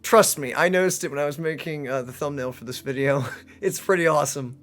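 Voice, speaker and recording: A playful, excitable gaming co-host chatting casually through a let's play. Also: medium pitch, fairly quick, soft background music.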